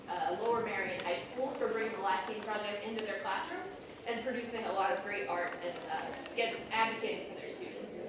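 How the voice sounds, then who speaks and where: A woman giving a halting introductory speech of thanks to an audience. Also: medium pitch. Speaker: event speaker giving thanks. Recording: noisy echoey hall, distant microphone.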